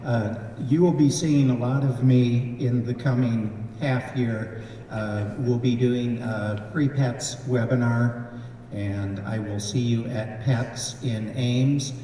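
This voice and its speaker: A man with a mellow voice calmly explains training resources at a district event. He is heard from far off, with a long hall reverb and faint noise. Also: mid-range voice, takes it slowly. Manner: plain and matter-of-fact. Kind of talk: brief announcement.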